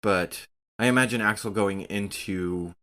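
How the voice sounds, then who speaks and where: A man's voice: lowish voice, a little slow, noisy background. A man playing a tabletop game, talking casually and jokingly through his moves.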